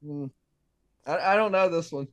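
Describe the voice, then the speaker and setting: A man's voice: on the high side, normal speed, fairly bright tone, clear, resonant tone. A man appearing as a guest on a casual movie podcast, giving short, easygoing answers.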